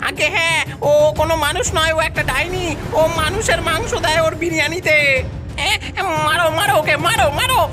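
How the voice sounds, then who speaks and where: A woman's voice: extremely high pitch, brisk. A woman voicing an outraged, accusing villager in a cartoon. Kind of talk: cartoon voice acting. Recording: loud music and crowd noise.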